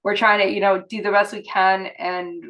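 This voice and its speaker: A woman with a cold and a worn, hoarse voice, giving steady, measured remarks at a public meeting. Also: mid-range voice, rough recording. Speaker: project representative at a public meeting.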